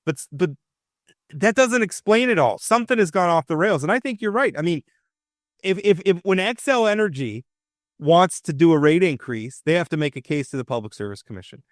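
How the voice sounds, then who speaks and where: A man with a fairly high speaking voice talks politics in a casual, opinionated way, stumbling over restarts as he builds his point. The sound is dry and close, with no room echo. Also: expressive, brisk. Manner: opinionated, frequent restarts and stammered repeats. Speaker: radio talk show host.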